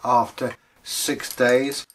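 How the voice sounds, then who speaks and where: Man, storyteller, medium pitch, theatrical delivery, jokey, drawn-out emphasis. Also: flat delivery, fairly bright tone, slight background noise. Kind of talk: animated oral folktale telling and chat.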